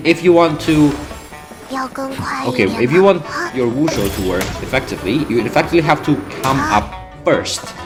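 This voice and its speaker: A young man, chatting casually through gameplay in a rambling way, full of fillers and restarts. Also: mid-range voice. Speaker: gaming channel host. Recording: game music behind the voice.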